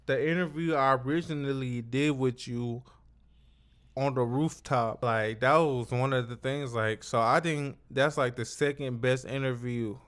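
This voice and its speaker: A man's voice: mid-range voice, fairly even intonation, unhurried, a bit bright, smooth. A man hosting a casual interview, talking emphatically and repetitively with lots of slang and swearing. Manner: emphatic, repetitive, profane street talk. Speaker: interview host. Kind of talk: casual chat.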